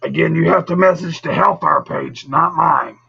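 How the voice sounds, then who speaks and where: Male live storytelling host: bright, forceful, very husky rasp, casual and teasing with viewers, muffled recording with music underneath. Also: a little high, moderate pace. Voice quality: gravelly, raspy. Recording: dull lo-fi audio, music behind.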